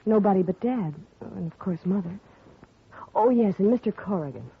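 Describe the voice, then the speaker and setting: An actress playing a grieving young woman whose father has been murdered, speaking softly and earnestly in a 1950s radio drama. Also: medium pitch, lively intonation, moderate pace, creaky. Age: young adult. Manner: soft and earnest, recounting quietly. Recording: tinny lo-fi 1950 radio broadcast with faint hiss.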